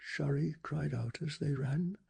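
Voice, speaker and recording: A man reads a scripted story in a very husky, breathy ASMR voice. The pitch sits a little high for a man, with a gravelly fry running through it. His delivery is hushed and dramatic, made for bedtime listening. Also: measured pace. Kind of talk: soft-spoken ASMR bedtime story narration.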